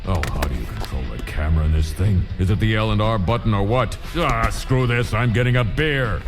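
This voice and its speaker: An actor playing a slacker gamer God in a cartoon game, self-absorbed and defensive, with casual, whiny talk. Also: male voice, fairly low pitch, fairly quick, close to an everyday voice. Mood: sheepish, casual. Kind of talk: video game cartoon cutscene acting. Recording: long cavernous reverb, music behind.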